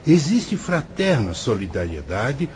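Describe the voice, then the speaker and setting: A man's voice: mid-range voice, sing-song, measured pace, darkish tone, a little hiss or hum. A man acting in a radio drama as a kindly, patient, fatherly spirit guide who teaches in a solemn, declamatory way. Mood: solemn, instructive. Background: music.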